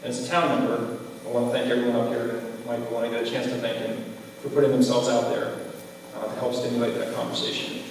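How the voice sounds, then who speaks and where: A man's voice: medium pitch, monotone, quick pace. A man giving an earnest, formal closing speech as a school board candidate at a public forum. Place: large echoey room at a public forum. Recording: echoey hall from far off, faint noise.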